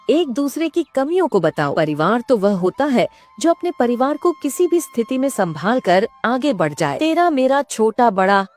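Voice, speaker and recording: Animated, earnest mother-in-law played by a woman. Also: a little high. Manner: earnest, advising. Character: older mother-in-law, kind, wise family elder. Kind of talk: Hindi moral-story cartoon dubbing.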